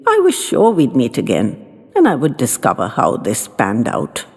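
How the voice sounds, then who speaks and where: A woman's voice: very deep voice, wildly swooping pitch, normal speed, a little mellow, slightly rough. A woman narrating a scripted first-person story as an elderly widow reminiscing, in a chatty, wry manner. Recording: long reverberant hall sound, faint music.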